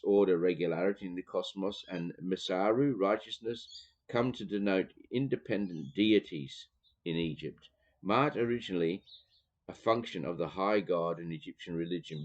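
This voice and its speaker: A man with a Dutch accent, reading from a theology book and pausing to explain, with many fillers and restarts. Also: low-pitched, a little mellow, highs cut off. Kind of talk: reading aloud with commentary.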